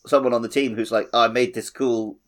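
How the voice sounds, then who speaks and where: A man's voice: mid-range voice, steady tone, a little fast, fairly bright tone. A man co-hosting a casual gaming podcast, talking in a playful way. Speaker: podcast co-host.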